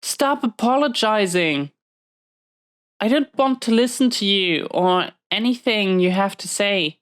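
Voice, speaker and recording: A woman's voice: mid-range voice, animated, unhurried, close to an everyday voice. A woman playing a dominant, guarded prison inmate, stern but secretly tender, in an intimate roleplay audio drama, giving clipped orders and hesitant confessions. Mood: hurt, stern.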